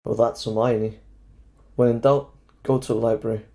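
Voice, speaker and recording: Man acting a mellow-voiced, sardonic teenage schoolboy. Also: medium pitch, steady tone, normal speed, natural voice. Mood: dry, baffled. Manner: sarcastic, blunt. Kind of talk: fan audio drama acting.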